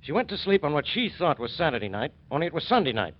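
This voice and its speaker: A hard-nosed American police investigator in a vintage radio crime drama. His fairly high male voice is blunt and clipped, with sardonic satisfaction. The recording has a dull, old sound. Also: big pitch swings, fast talker, slight background noise. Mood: smug, stern.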